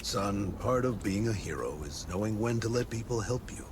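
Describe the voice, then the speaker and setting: An actor playing a kindly frontier priest who speaks in a gentle, fatherly, sermonizing way. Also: lowish voice, lively intonation, moderate pace, background noise, natural voice. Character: kindly folksy frontier padre, preachy.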